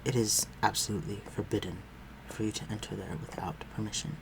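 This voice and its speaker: A man playing a refined, protective vampire lord in an intimate audio roleplay, speaking formally and with measured command. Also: fairly low pitch, background noise. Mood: calm, guiding. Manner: formal, measured, archaic phrasing, dropping to breathy murmurs.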